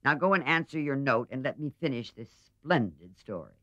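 A woman's voice: extremely low pitch, lively intonation, normal speed, muffled recording. A woman voicing a blunt, careless teenage tomboy sister in a storybook, offhand in manner. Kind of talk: storybook character reading.

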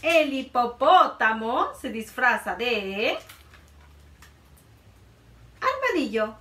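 A woman in her thirties reading a picture book aloud to children in a playful, expressive way, in a small room. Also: a little high, very slow, roomy sound, distant mic. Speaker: children's librarian. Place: small room indoors, before a board.

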